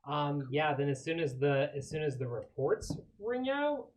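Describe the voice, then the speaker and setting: A man's voice: a little high, very animated, moderate pace. A man talking casually as a tabletop player, tentatively thinking his moves aloud. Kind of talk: casual game table talk.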